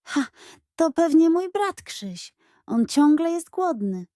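Woman acting a high-pitched, excitable little girl. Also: takes it slowly, dry recording, clean studio sound, full character voice. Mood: chatty, determined. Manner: exclamatory, incredulous, colloquial.

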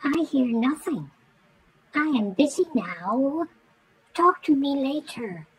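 Snippy storybook mouse, female performer: high, animated, slow-drawling, dismissive and curt. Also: heavily acted, low-quality recording. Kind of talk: storybook character acting.

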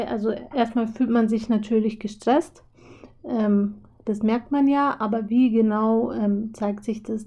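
A young woman with a hoarse, husky voice from a cold, explaining a topic casually over drawings with lots of filler pauses. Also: on the high side, dark tone. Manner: chatty, many filler pauses. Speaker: video host.

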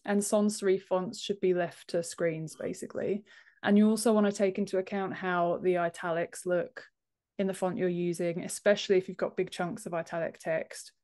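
A woman's voice: fairly low pitch, steady tone, brisk, darkish tone. Woman explaining things casually. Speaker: podcast guest, author and book formatter. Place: home office room, indoors. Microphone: earphone headset on a video call.

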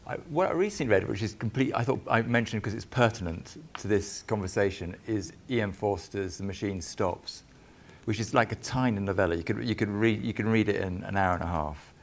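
A middle-aged man with a low, deep voice talks on a festival panel. He is eager and stumbles through quick restarts as his thoughts race ahead. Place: large marquee with audience.

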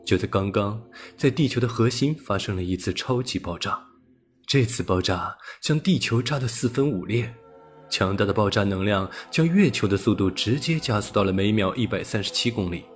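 Low-voiced male audiobook narrator over music. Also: animated, normal speed. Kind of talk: scripted science-fiction audiobook narration.

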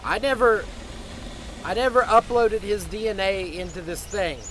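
Dramatic, very high-voiced man, noisy recording. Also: unhurried, fairly bright tone. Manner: emotional, dramatic, repeats short exclamations. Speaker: gaming video creator. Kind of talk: improvised first-person video game roleplay.

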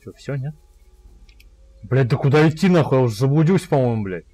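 A man giving casual, sweary let's play commentary on a fantasy game, excitable in the way he talks. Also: mid-range voice, brisk, breathy edge, no echo. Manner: foul-mouthed, excitable. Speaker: gamer playing a fantasy game.

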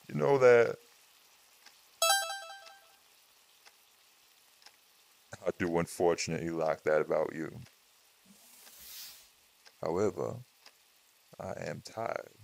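Man playing imposing vampire spouse, very low voice, creaky, deadpan, haughty, protective, audio roleplay. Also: slight background noise. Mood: teasing, haughty.

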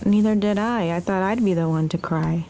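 An actress playing a tender, candid young woman in love in an audio drama, gentle and intimate. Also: mid-range voice, moderate pace, a little hiss or hum, close to an everyday voice. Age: young adult. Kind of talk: naturalistic audio drama acting.

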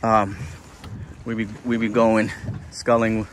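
A man's voice: on the low side, slow pace. A middle-aged man casually narrating his sailing trip, talking into a phone held at arm's length while out on a small sailboat on a lake. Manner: relaxed, chatty, laughs easily. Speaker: sailing vlogger. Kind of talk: casual vlog narration and voiceover. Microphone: phone held at arm's length, no wind muffler. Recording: wind and water noise outdoors.

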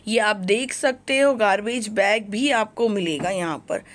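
A woman's voice: medium pitch, measured pace. A woman vlogger walking through a kitchenware shop, talking eagerly and promotionally about the products. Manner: enthusiastic, repetitive praise, promotional. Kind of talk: shopping vlog walkthrough. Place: crowded kitchenware shop, indoors.

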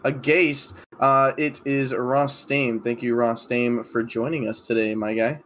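A man's voice: medium pitch, steady tone, fairly bright tone, a little hiss or hum. A young American man hosting a podcast, chatting casually, joking and swearing. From United States. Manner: joking, swearing, quick bursts of laughter. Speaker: podcast host.

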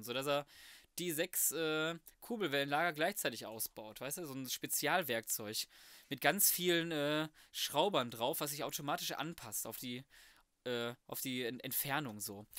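Male let's player, voice a bit on the high side, offhand and colloquial, recorded very dry. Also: pushed and bright, no echo. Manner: colloquial, flippant slangy muttering to himself. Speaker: gaming video host. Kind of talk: casual let's play commentary. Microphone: close microphone, voice-over.

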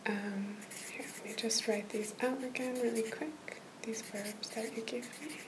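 A woman in a whispered tutoring roleplay, patiently walking a listener through a lesson in a soft, encouraging whisper. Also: on the high side, steady tone, a little slow, background noise. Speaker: language tutor.

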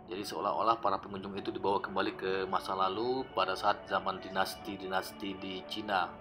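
A man narrating his old travel footage in a relaxed, explanatory voice-over, often pausing with fillers. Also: deep voice. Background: background music.

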